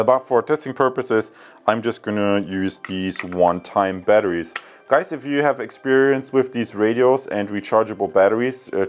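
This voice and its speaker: A man with a fairly low voice talks viewers through an unboxing at a table. He is casual and chatty, calls them guys, and fills pauses with ums. Faint music sits underneath. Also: darkish tone. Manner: chatty, addresses viewers as guys, many fillers. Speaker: tech reviewer. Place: indoor room with wooden table.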